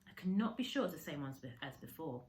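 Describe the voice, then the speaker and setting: A woman's voice: low-pitched, big pitch swings, racing, a little hiss or hum. A woman reading a children's story aloud like a teacher, expressively, with dramatic emphasis on descriptive words.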